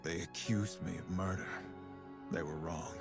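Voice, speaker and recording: A haunted, exiled game swordsman with a low, gravelly voice. He speaks slowly and gravely in terse lines, over music. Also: steady tone. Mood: sombre. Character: world-weary, guilt-haunted exiled swordsman, gruff and sombre.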